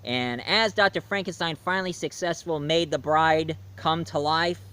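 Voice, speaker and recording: A man's voice: fairly high pitch, normal speed, pushed and bright, clear, resonant tone. A man reviewing a movie in a casual, rambling way, with fillers and restarts. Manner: rambling, loose, with fillers and self-corrections.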